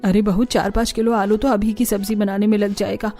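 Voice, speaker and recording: A woman plays a middle-aged cartoon mother-in-law, bossy and proud of her household. She is brisk and commanding, with music underneath. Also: medium pitch, level tone, warm tone, close to an everyday voice. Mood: instructing.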